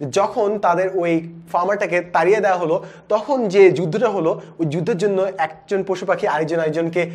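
A man in his twenties with a Bangladeshi accent, presenting a book review in an animated, explanatory way with English words mixed in. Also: high voice, normal speed. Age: 20s. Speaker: book reviewer, educational video host. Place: indoor studio with plain backdrop. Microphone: lapel mic.

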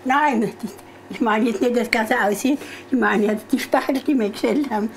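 A woman's voice: on the high side, moderate pace, slight background noise. Elderly Palatinate woman teaching in kitchen. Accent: Palatinate German. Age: 80s. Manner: patient, instructive, chuckles often. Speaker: farm cook sharing recipes. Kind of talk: casual cooking chat. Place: home kitchen, indoors. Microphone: lapel. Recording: clattering dishes and pans behind.

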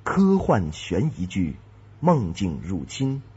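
Man, low and very husky, dramatic trailer narration, muffled recording. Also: wildly swooping pitch, slow, a little mellow. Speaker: announcer. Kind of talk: dramatic scripted preview narration. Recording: dull, muffled audio.

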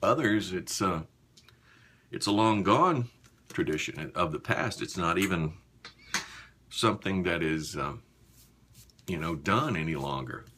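A man in his fifties chatting casually and haltingly to viewers in a small bathroom while busy with a task. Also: deep voice, animated, sharp tone, husky. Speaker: shaving vlogger.

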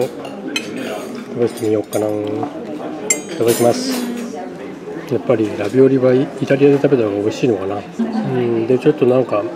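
A man narrating his travel vlog in casual chat, thinking aloud with lots of fillers as he walks around a city and eats. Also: mid-range voice. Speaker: travel vlogger. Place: outdoors on city streets and in a cafe. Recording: street noise behind, camera mic.

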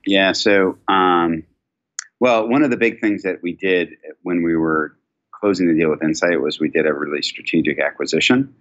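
Very deep-voiced man on dull call audio. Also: normal speed, a bit bright. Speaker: company chief executive, guest. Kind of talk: conversational business interview. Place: indoor office, video call.

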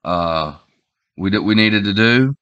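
A man in his forties with a head cold, his voice stuffy and nasal. He talks in a rambling, halting way, full of fillers and restarts, and his lowish voice has a forceful, bright edge. He is recorded on a webcam in a small room at home. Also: lively intonation, clear, resonant tone, lo-fi sound. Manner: rambling, halting, many fillers and repeated starts. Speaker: online commentator. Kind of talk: casual opinion vlog.

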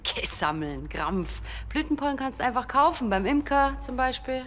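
Sarcastic pathologist, higher voice, matter-of-fact crime comedy, echoey room. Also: female voice, sing-song, moderate pace, fairly bright tone, slight background noise. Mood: brisk explaining. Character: sharp-tongued, brisk female forensic pathologist. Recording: roomy, echoing set sound.

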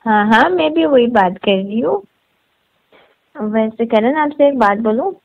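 Higher-pitched woman on a phone call. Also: expressive, unhurried. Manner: playful, teasing. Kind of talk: casual phone chat. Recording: dull lo-fi phone call recording.

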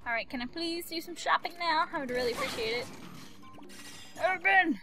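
A young woman giving playful, excitable, singsong commentary as she hosts a casual game playthrough. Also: extremely high pitch, fast talker. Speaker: gaming video host. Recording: game music behind the voice.

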